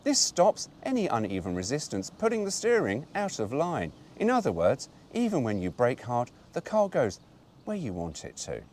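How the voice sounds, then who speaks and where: A man's voice: medium pitch, huge pitch swings, moderate pace, a little mellow, slight background noise. Wry man reading a brochure. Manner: wry, mock-indignant asides. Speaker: host reading a car brochure. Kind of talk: reading aloud with asides.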